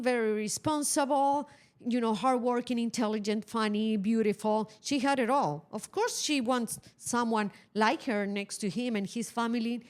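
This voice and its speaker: Blunt middle-aged woman chatting. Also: on the high side. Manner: blunt, opinionated. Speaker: podcast co-host. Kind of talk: casual conversational storytelling.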